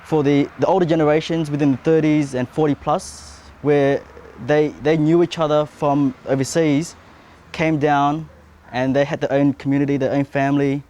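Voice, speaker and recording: A young man explaining in an interview with deliberate, somewhat formal wording. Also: on the high side, little pitch movement, mellow. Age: young adult.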